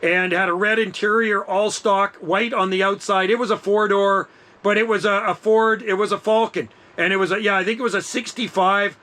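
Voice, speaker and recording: A man in his fifties with a high, bright, forceful voice chats over two-way radio. He talks casually and enthusiastically, in easygoing slang. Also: fairly even intonation, moderate pace. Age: 50s. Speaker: amateur radio operator.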